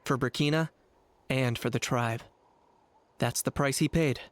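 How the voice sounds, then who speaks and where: Calm, reserved young warrior played by a man. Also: mid-range voice, measured pace, slight background noise, lightly acted. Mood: reflective, serious. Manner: level-headed, matter-of-fact. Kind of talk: anime video game voice acting.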